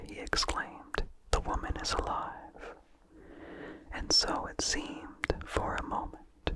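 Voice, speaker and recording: Slow, fully whispered ASMR storyteller. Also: low-quality recording. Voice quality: whispered, unvoiced. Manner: slow, deliberate, pausing between short phrases. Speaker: narrator. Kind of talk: whispered ASMR reading of vintage horror story.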